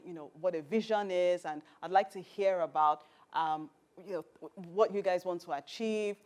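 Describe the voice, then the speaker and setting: A woman hosting and teaching a personal-growth workshop, earnest and instructive, laying out her points one by one. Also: mid-range voice. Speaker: mentor and program host.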